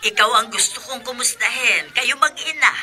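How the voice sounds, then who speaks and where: A woman's voice: fairly high pitch, normal speed, sharp tone. A woman acting a concerned elderly mother-in-law calling from the province in a Filipino radio drama. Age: older.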